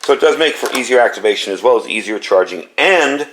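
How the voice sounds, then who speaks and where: A man's voice: mid-range voice, expressive, fast talker, a bit bright, roomy sound. A man reviewing a flashlight in a casual, chatty way, with a scratchy voice from a cold, often checking in with "okay?". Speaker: product reviewer. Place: home garage and yard.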